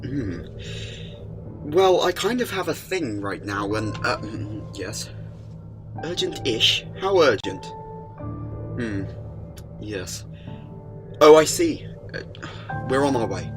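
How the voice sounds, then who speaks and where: A man's voice: mid-range voice, big pitch swings, slow pace, pauses often. A man playing a quirky alien time-travelling adventurer in an audio drama, theatrical, with stammers and emphatic bursts. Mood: flustered. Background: music.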